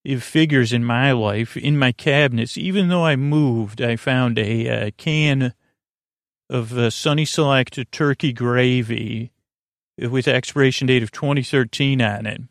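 Man, American accent, fry-laden voice, dry recording, rambling sleep podcast host, halting, self-interrupting, circuitous. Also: medium pitch, no echo.

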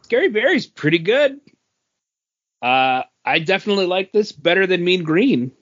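A man's voice: sits high, expressive, moderate pace, a bit bright, dull audio. A man talking casually as a podcast co-host, giving his offhand opinions. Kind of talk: casual chat, reviewing drinks.

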